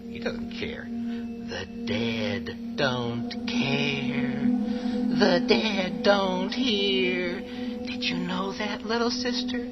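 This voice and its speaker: A man's voice: high voice, expressive, very slow, heavily acted. An actor playing a sarcastic, grumbling young man who bullies and teases in a radio horror drama. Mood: spooky teasing. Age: young adult. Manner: sarcastic, grumbling, theatrical teasing. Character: sarcastic young man, mocking bullying older brother. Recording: dull lo-fi radio play, loud music.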